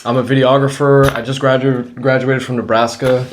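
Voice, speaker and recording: A man's voice: mid-range voice, level tone, fairly quick. Young American man, noisy recording. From United States (Texas and Minnesota). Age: 20s. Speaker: podcast guest, freelance videographer. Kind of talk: casual interview chat.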